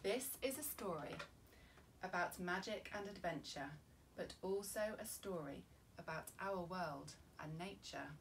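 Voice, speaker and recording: A woman reading a children's story in an expressive, measured and dramatic way. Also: fairly low pitch, gravelly, slight background noise.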